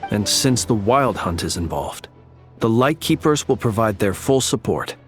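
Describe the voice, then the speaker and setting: A man playing an earnest, thoughtful soldier in a video game, courteous and measured. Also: fairly low pitch, lively intonation, soft background music, natural voice. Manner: measured, formal.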